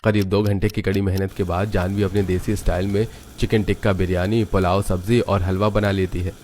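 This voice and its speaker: A man's voice: low voice, level tone, brisk, a little mellow, slight background noise. A man narrating a scripted story as its storyteller.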